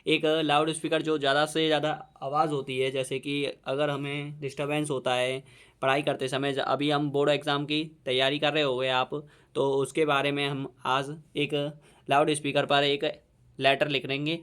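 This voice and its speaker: Indian male teacher, very slow dictation, flat and even delivery, smooth clear voice, repeats every phrase. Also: on the high side. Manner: mixes Hindi explanation with dictated English. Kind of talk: dictating a letter to students.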